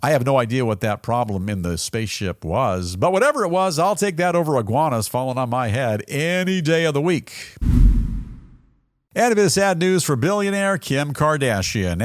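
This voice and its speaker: Male American news roundup host, folksy and playful, slightly high voice with big pitch swings, dry close sound. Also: no echo. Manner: folksy, playful, theatrical delivery. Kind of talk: jokey news roundup commentary.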